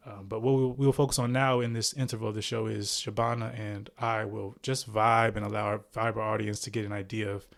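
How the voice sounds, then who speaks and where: A man's voice: fairly low pitch, flat delivery, fairly quick, creaky, hardly any pauses. A man in his forties hosting a podcast, reading a guest's introduction in a chatty, playful way, with fillers and self-corrections. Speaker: podcast host.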